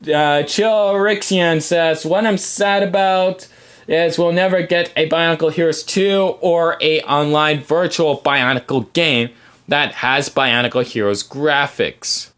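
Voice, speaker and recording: A man hosting a casual fan podcast, answering viewer comments in a halting, rambling way as he thinks aloud. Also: a little high, a bit bright, smooth. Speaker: podcast host.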